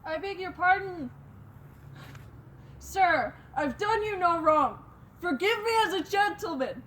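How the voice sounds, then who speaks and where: A troubled young prince, played by a woman in an amateur home video. The voice sits very high and moves at an unhurried, careful pace. Faint room noise hums underneath. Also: lively intonation, fairly bright tone, exaggerated voice.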